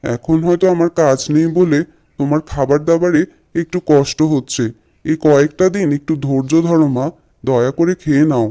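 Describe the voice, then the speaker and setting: Earnest, mellow-voiced grown son acted by a man. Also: a little high, steady tone, normal speed, lightly acted. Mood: pleading reassurance. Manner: earnest, reassuring. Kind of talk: Bengali cartoon voice acting.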